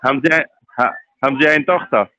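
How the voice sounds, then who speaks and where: A man's voice: mid-range voice, quick pace, pushed and bright, dry recording, dull audio. A man who is not a native German speaker, calling from a trading firm, speaking broken German and asking confused questions. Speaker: scam call-center caller.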